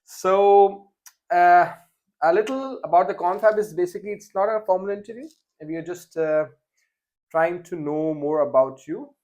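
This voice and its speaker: A man's voice: high-pitched, moderate pace, a little mellow, muffled recording. A young man hosting a casual interview podcast, talking with frequent fillers and restarts. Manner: hesitant, many fillers and restarts. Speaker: podcast host.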